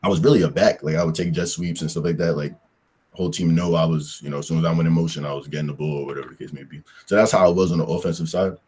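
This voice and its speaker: A man's voice: extremely low pitch, steady tone, very fast, a little mellow, husky. A young man from Pennsylvania, a football player answering interview questions in a loose, rambling way with slang and lots of fillers. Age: young adult.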